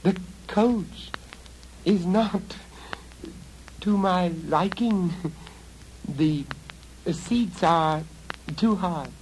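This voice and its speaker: Man doing a young maiden, prim, stubborn, firm and slow, lifted voice. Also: sits high, a little hiss or hum, voice pushed up, low-quality recording. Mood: haughty.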